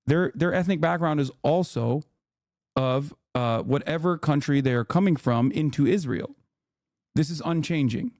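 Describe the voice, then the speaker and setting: A man's voice: on the high side, moderate pace, darkish tone, dry recording. Man patiently explaining, in dry close sound. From Turkey. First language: Turkish. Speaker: streamer hosting a debate. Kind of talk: live debate, explaining at length.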